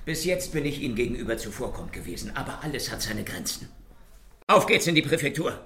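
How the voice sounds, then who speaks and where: An actor playing an officious, stubborn French police inspector in a German radio crime comedy, stern and easily exasperated. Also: male voice, mid-range voice, very animated, fast talker, sharp tone, a little hiss or hum. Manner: stern, blustering.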